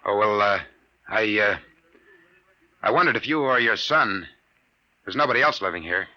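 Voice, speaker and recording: An actor playing a nervous, hesitant private detective in distress in a 1950s radio drama. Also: male voice, lowish voice, lively intonation, moderate pace, lightly acted. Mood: uneasy, polite. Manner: hesitant, stammering, breathless pleading. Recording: noisy muffled 1950s radio recording.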